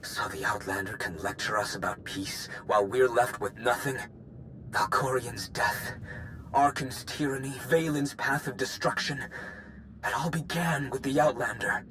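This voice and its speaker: An actor playing an embittered, grieving ex-guard bent on revenge in a video game, solemn, brooding and intense. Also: male voice, mid-range voice, fairly even intonation, moderate pace, fairly bright tone, slight background noise, lightly acted, poor audio. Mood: bitter, grieving. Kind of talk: dramatic video game cutscene acting.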